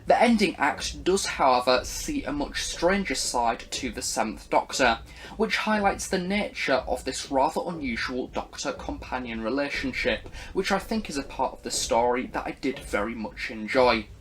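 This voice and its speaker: A young man with a husky voice, reading a scripted review of an audio drama in formal, measured phrasing. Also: mid-range voice, animated, a bit bright, a little hiss or hum, rough recording.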